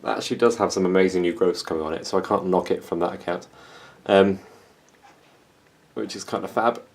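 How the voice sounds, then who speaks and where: Self-mocking English guy, lowish voice. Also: fairly even intonation, brisk, vocal fry. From England. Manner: self-mocking, dry humor. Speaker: plant vlogger. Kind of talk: casual vlog.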